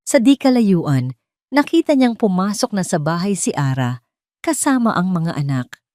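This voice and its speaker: A woman reading a scripted story as narrator, with a measured, dramatic delivery. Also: medium pitch, big pitch swings, mellow, no room sound, studio quality.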